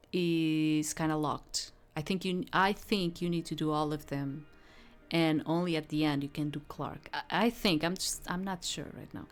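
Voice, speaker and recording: A woman reading a visual novel aloud and commenting casually, sometimes stumbling over her words. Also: low voice. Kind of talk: read-aloud story narration with casual commentary.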